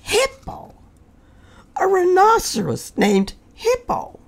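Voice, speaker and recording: Woman voicing a high-pitched earnest little porcupine. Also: huge pitch swings, slow, creaky, full character voice. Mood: polite, curious. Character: small earnest polite young male porcupine. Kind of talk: children's storybook character voice.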